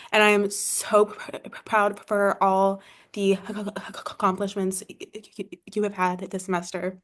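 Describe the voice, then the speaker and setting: A woman's voice: medium pitch, fairly bright tone. A young woman working as a research assistant gives a tribute while stuttering openly, with frequent sound repetitions and blocks. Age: young adult. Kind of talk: prepared tribute to a child.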